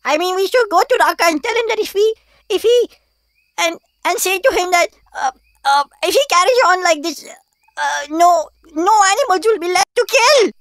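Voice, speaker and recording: A woman's voice: very high voice, animated, moderate pace, a bit bright, dry recording, exaggerated voice. A woman voicing a small, nervous but clever male hare in a cartoon, stammering and deferential. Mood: flustered. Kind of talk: cartoon voice acting.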